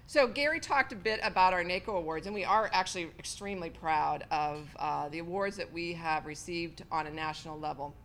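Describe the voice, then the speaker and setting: A woman's voice: lowish voice, brisk, hardly any pauses, fairly bright tone, slight background noise. A middle-aged woman with a big, strong voice giving a gracious speech to a luncheon audience in a hotel banquet room. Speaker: county official giving a keynote.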